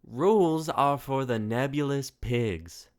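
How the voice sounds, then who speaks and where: A man's voice: mid-range voice, slow, pitched above the performer's own voice, close to an everyday voice. A man voicing a mischievous alien shapeshifter woman in a story, with a brash, teasing, playful delivery.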